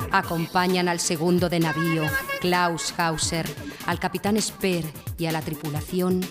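A woman narrates a radio drama in a low voice. The delivery is measured, solemn and dramatic, with prominent music behind it. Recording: live radio theatre with loud music.

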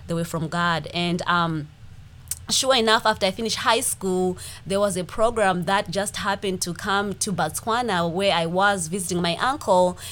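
A woman in her forties with a Zimbabwean accent, co-hosting a podcast and telling her life story in a lively way, acting out the things people said. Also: fairly low pitch, moderate pace. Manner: animated, acts out quoted speech. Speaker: podcast co-host. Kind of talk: casual personal storytelling.

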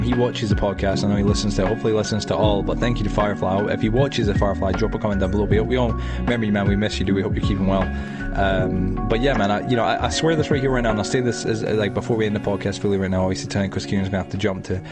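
A man's voice: fairly low pitch, steady tone, very fast, hardly any pauses, loud music behind the voice. A Scottish man from Edinburgh hosting a casual podcast chat over an online call, rambling with lots of restarts and fillers. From Scotland. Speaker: podcast host.

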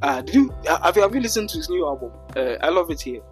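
Young male host, somewhat high-pitched, easygoing and slangy, faint music in the background. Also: quick pace. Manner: relaxed, easygoing, drops local slang. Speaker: podcast host. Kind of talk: casual chat.

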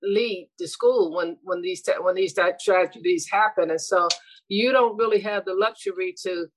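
A woman's voice: fairly low pitch, steady tone, normal speed, slight background noise, low-quality recording. A middle-aged woman, a retired school administrator, talking earnestly and reflectively in an interview, often restarting her sentences. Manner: earnest, reflective, with frequent restarts and soft laughs. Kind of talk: casual interview conversation.

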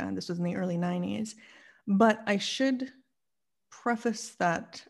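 A woman's voice: medium pitch, creaky, darkish tone. A woman in her forties with a Canadian accent, telling personal stories casually and chattily as a podcast guest. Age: 40s.

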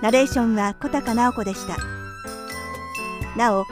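A woman announcer reading scripted radio drama credits in a measured, formal manner. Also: fairly high pitch, over music.

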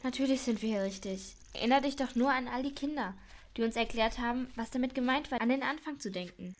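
A woman's voice: a little high, fast talker, natural voice. A woman playing an eager, talkative child detective in a children's audio drama, reasoning aloud with emphasis. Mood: confident.

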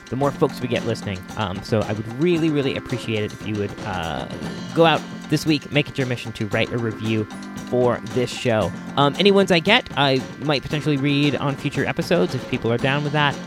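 A man hosting a podcast in casual, halting solo chat, repeating himself for emphasis. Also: fairly high pitch. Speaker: podcast host.

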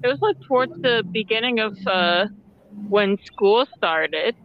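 A woman's voice: mid-range voice, lively intonation, a little slow, lo-fi sound, a little hiss or hum. A teenage girl guest in a casual chat, giggly and reactive.

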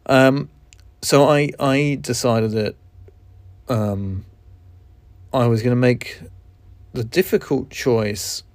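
A man in his forties with a New Zealand accent, hosting his own podcast in a reflective, halting way with frequent restarts. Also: mid-range voice, dry recording. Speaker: podcast host. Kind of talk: candid solo podcast monologue.

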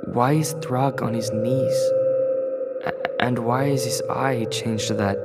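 A man plays a bewildered teenage boy in a sci-fi audio drama. He speaks slowly and haltingly, questioning everything, with loud music behind him. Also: medium pitch, steady tone, natural voice.

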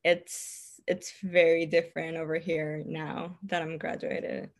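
Cuban-accented young woman, low voice. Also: flat delivery, takes it slowly, vocal fry. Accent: Cuban Spanish. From Cuba. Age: 20s. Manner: laughs often while talking. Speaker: podcast guest. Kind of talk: casual interview chat.

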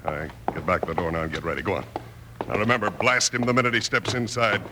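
An actor playing a scheming, ruthless villain in an old radio western, giving urgent, bossy, clipped orders. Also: male voice, deep voice, fairly even intonation, fast talker, lightly acted. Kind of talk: 1950s radio western acting. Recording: hissy old radio recording with clicks.

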